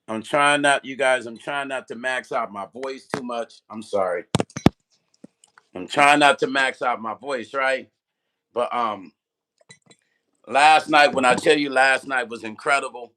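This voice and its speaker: A man with a strained, partly lost voice, chatting loosely on a livestream and greeting viewers by name, clearing his throat often. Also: medium pitch, slow, long pauses, bright, forceful tone. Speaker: music vlogger and producer. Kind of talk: casual livestream chat.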